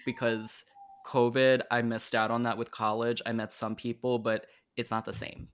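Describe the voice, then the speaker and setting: A man's voice: mid-range voice, little pitch movement, moderate pace, smooth. A young man interviewing a guest on a podcast, asking earnest, thoughtful questions in a casual tone. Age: young adult.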